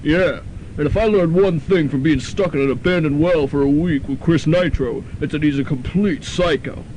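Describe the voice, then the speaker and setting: A man's voice: a little high, moderate pace, a little mellow, slight background noise, lightly acted, rough recording. A young man playing a blunt, offhand college jock in a student radio drama.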